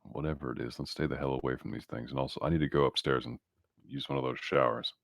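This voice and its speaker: Man, extremely deep voice, dry close recording, roleplaying game player, casual in-character speech. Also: steady tone, fast talker, creaky, no room sound.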